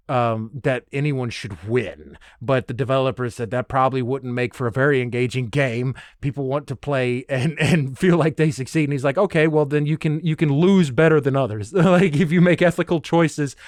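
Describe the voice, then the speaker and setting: A man's voice: medium pitch, no echo. Man rambling casually, very dry sound. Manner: halting, digressive, self-interrupting with trailing restarts. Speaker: podcast host. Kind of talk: rambling solo podcast monologue, casual.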